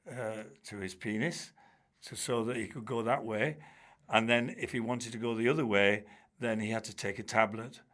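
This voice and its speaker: An older man, a former teacher telling stories in a chatty interview, full of restarts and asides. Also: mid-range voice. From Bradford, England. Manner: chatty, warm-humoured, many restarts and "you know"s. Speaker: guest, charity trustee and former teacher. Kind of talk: relaxed storytelling in an interview.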